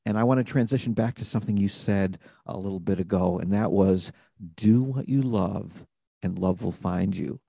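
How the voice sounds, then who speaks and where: Man, podcast host, earnest and reflective, husky, gravelly. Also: low-pitched, normal speed, dark tone, no echo, studio quality. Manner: earnest, reflective, speaks of faith and purpose. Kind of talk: conversational interview.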